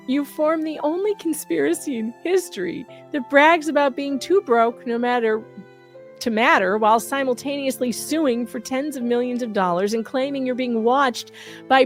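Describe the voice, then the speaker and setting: A woman reading out a scripted satirical roast as an online host, with a sarcastic, punchy, emphatic delivery. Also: high voice.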